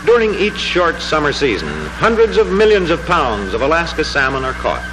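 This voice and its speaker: A man narrating a 1940s educational film in a formal, measured, declamatory manner, reading from a script. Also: fairly high pitch, sing-song, noisy background. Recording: 1940s film soundtrack with music. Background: music.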